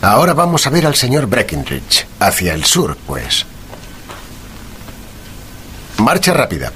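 An actor playing a shrewd, courteous and confident gentleman detective in a period mystery drama, articulate and composed. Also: medium pitch, huge pitch swings, normal speed, slight background noise. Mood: resolute.

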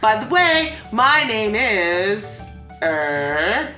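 A woman voicing a gushing, ditzy young festival girl from a video game, with exaggerated, drawn-out delivery. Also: mid-range voice, sing-song, bright, forceful tone, full character voice. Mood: coy, hesitant. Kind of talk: mock-dramatic reading of video game dialogue. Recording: roomy echo, faint game music behind.

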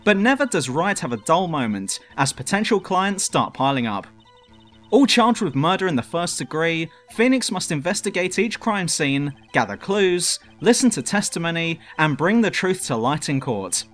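A man's voice: on the high side, big pitch swings, normal speed, a bit bright. A man reading a scripted review narration in a dramatic, emphatic way, as the presenter of a countdown video. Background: music.

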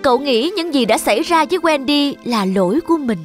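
A woman's voice: high-pitched, lively intonation, a little fast, faint music behind. A woman storyteller reading scripted fairy tale narration for children.

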